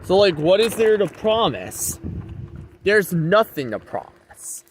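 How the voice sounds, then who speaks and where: Male vlogger, notably high voice, rambling and repetitive, informal address, faint rumbling noise behind him. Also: very animated, slow pace, rough recording. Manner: repetitive, emphatic phrasing. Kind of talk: rambling informal monologue to viewers.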